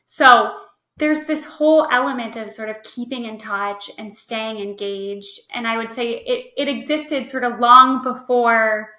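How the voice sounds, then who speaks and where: A woman speaks as an expert guest in a podcast conversation. Her voice sits fairly high and has a very bright edge. She explains step by step, checking in as she goes, in a noticeably roomy recording. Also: unhurried. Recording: roomy, echoey voice track.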